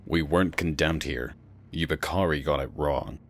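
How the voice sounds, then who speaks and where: A stern warrior hero voiced by a man with an extremely deep voice. He bargains in a measured, firm manner in an animated fan dub.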